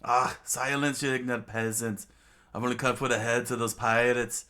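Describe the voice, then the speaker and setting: A man's voice: on the low side, steady tone, normal speed, pushed and bright. Man acting a pompous, haughty male pirate warlord. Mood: disdainful. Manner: pompous, disdainful. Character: haughty male pirate warlord, self-regarding hero-villain.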